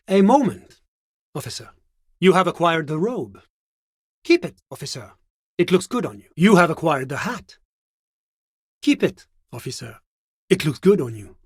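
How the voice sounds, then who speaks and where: An actor playing a smug, genial bureaucrat in a video game, speaking with a French accent in a suave, measured, politely condescending manner. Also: male voice, a little high, no echo, studio quality. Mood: suave, complacent. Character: smug, genial male bureaucrat, unflappable moralist technocrat. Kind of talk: video game character dialogue.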